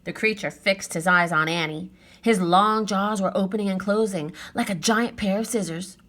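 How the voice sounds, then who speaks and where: A woman narrating a children's book aloud with expressive, careful, dramatic phrasing. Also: medium pitch, normal speed. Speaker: storybook reader.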